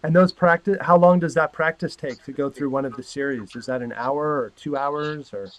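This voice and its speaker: Guy hosting a yoga interview, friendly questions, dry close sound, bit high. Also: moderate pace, a bit bright, dry recording. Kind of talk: podcast interview.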